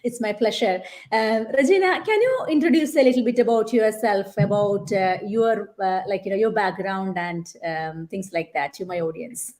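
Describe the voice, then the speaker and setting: A woman's voice: mid-range voice, moderate pace, few pauses, a little mellow. A woman hosting a live online interview, chatty with many fillers and restarts. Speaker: host.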